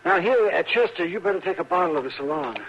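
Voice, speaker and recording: Crusty, lively western doctor. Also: male voice, high voice, fast. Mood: wry. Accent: American. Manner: chuckling, needling, folksy. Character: crusty, chuckling, teasing male frontier town doctor. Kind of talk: old-time radio western acting. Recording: muffled, hissy old radio recording.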